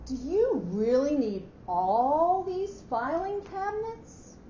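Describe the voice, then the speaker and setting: A brash, boisterous office lady acted by a woman teases bluntly in slang, her high voice swinging up and down. It is a staged reading, heard in an echoey room. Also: big pitch swings, slow, warm tone, heavily acted. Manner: bouncy, blunt, slangy teasing. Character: loud, brash, boisterous female office adviser. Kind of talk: staged dramatic reading of a short story. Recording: muffled, echoey hall with faint noise.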